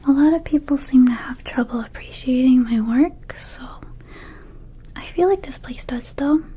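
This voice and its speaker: A woman voicing a friendly, scatterbrained young vampire shop clerk in a soft breathy whisper, rambling casually and hesitantly in an ASMR roleplay. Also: high voice, normal speed, a little hiss or hum, lightly acted. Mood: airy, self-assured. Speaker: ASMR performer.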